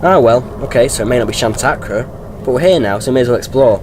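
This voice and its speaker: A man's voice: mid-range voice, fast, noisy background, natural voice. A man playing a jaunty, impatient, eccentric time-travelling adventurer in a sci-fi audio drama. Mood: breezy.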